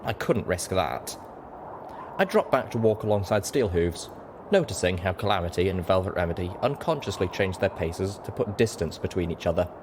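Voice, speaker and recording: Male audiobook reader, deep pitch, scripted first-person fiction narration. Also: expressive, moderate pace, background noise.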